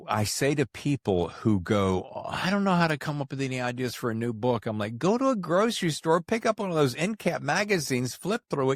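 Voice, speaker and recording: A man's voice: medium pitch, dry recording, clean studio sound. A man hosting an interview podcast in a casual, colloquial, chatty way. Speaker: podcast host interviewing an author.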